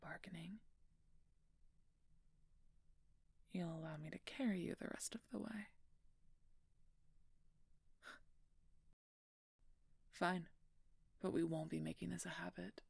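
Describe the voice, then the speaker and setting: A low, heavily gravelled female werewolf knight, acted by a woman for a fantasy roleplay audio. Her husky voice is dry and stern, with a sardonic, teasing edge as she guards a royal charge and speaks straight to the listener. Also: brisk, darkish tone, no echo, full character voice. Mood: stern, grudging.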